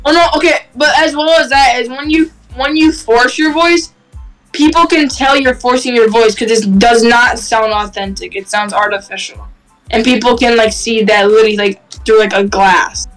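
Rambling teen girl, high voice. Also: fairly even intonation, normal speed, a bit bright, faint music behind, poor audio. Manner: rambling, filler-heavy, restarts often. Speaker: friend giving music feedback. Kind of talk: casual chat.

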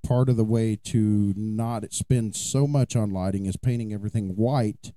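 A man co-hosting a casual podcast, slightly hoarse as his voice recovers, telling stories and cracking puns. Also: fairly low pitch, steady tone, normal speed, mellow, dry recording. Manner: jokey storytelling, dad-joke puns. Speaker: podcast co-host. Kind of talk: casual chat.

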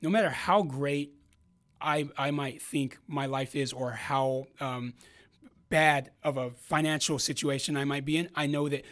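A man co-hosting a podcast, talking in an earnest, reflective way with frequent pauses and restarts. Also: medium pitch, steady tone, fairly bright tone. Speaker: podcast co-host. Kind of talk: casual podcast conversation.

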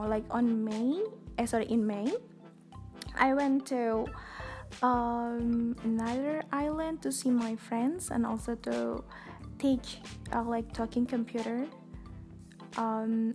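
A young woman with an Indonesian accent hosts her podcast in a casual, chatty monologue, full of fillers. Her voice sits fairly high and she talks at an unhurried pace. Faint music runs underneath. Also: darkish tone. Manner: talkative, chatty with many fillers. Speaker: podcast host.

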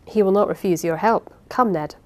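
A woman's voice: medium pitch, moderate pace, close to an everyday voice. A woman voicing an earnest male scholar who speaks steadily to his companions.

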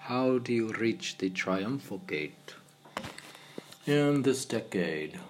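A man reading his own poems aloud in a halting, meditative way, with informal asides. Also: medium pitch, darkish tone.

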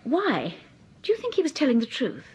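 A quick-witted detective's wife in a radio play, full of questions. Her voice sits fairly high and husky, with animated swings in pitch. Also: full character voice. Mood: curious. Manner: bright, inquisitive questioning. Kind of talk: 1940s radio drama acting.

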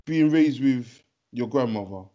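A man's voice: mid-range voice, animated, unhurried, mellow, lo-fi sound. A man hosting a podcast interview, chatty and friendly, calling his guest "man" and rambling through his questions. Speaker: podcast host. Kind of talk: casual interview.

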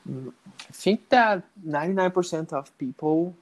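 A man's voice: on the high side, expressive, normal speed. A man in his late twenties from Brazil, a native Portuguese speaker with a Brazilian accent, talking about learning English in a casual interview, hesitant, full of restarts and "you know". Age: 20s. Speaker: guest, network engineer learning English.

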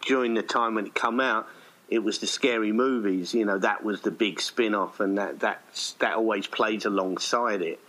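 A man with a fairly low voice gives conversational interview answers as a theatre writer-director. He explains at length, looping back and rephrasing as he goes. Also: moderate pace, pushed and bright.

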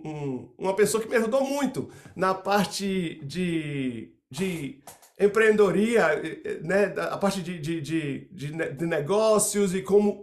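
A middle-aged man from Salvador with a Bahian Brazilian accent, answering casually as an interview guest and often repeating words as he restarts. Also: sits high. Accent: Brazilian Portuguese (Bahia). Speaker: percussionist guest.

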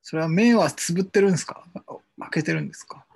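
A man's voice: fairly high pitch, quick pace, vocal fry, a little mellow, husky. A man chatting casually as a radio co-host. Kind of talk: casual chat.

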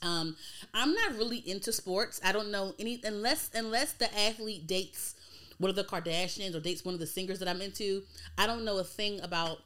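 A woman's voice: medium pitch, fairly quick, sharp tone. An American woman of thirty hosting a casual podcast, chatting informally with slang and free swearing. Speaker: podcast host.

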